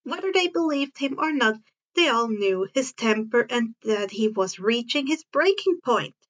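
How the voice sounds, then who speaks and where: A woman's voice: a little high, animated, normal speed, highs cut off. A woman reading a story aloud as an amateur narrator, sometimes stumbling and rereading phrases. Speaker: fan fiction narrator.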